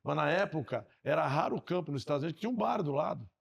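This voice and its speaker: Paulistano male host, gravelly, a bit high, casual and full of slang. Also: racing, dull audio. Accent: Paulistano Brazilian Portuguese. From São Paulo, Brazil. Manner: relaxed anecdotal talk with slang. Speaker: podcast host. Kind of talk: casual podcast chat.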